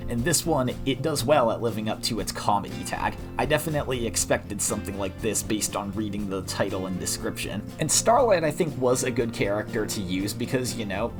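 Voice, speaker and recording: Guy with a kinda deep voice reacting to a story he's reading, rambly and informal, music playing behind him. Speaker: host reading fan fiction aloud and reacting.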